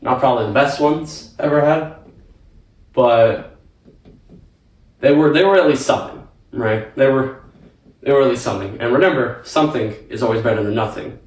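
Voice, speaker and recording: A young man answering viewer questions in a casual vlog, rambling and repeating himself with casual swearing. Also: mid-range voice. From United States. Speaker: fitness vlogger. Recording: echoey room, mic at a distance.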